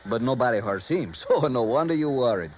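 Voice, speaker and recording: An actor playing a self-important, excitable police sergeant with a Mexican accent and theatrical broken English. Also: male voice, mid-range voice, brisk, lightly acted. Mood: grave, boastful. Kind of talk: 1950s radio drama acting. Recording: dull, noisy old radio recording.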